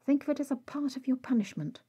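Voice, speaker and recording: A woman voicing an exasperated, protective male vampire in an audio story, scolding in a clipped, often hushed way. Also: high voice, expressive, fast talker, slightly rough, pitched above the performer's own voice, exaggerated voice. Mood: stern.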